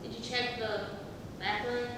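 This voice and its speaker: A woman playing a scatterbrained, well-meaning character in a community theatre comedy, with emphatic, drawn-out delivery. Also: flat delivery, lightly acted. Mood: helpful. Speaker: amateur stage actor. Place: theatre stage, distant microphone. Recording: echoey hall from far off, rumble.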